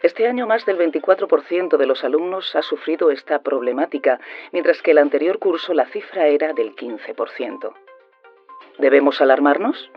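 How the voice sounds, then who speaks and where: An actor with a Spain accent playing an earnest television presenter, delivering a serious, emphatic broadcast report. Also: male voice, a little high, animated, normal speed. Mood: serious, concerned. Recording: dull TV broadcast sound, faint music.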